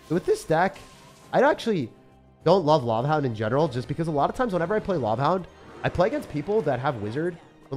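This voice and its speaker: A man's voice: on the high side, darkish tone. A young man live-streaming a game, chatting casually with viewers, joking and reading their messages aloud, with slang like bro and man. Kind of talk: live-stream gameplay chat.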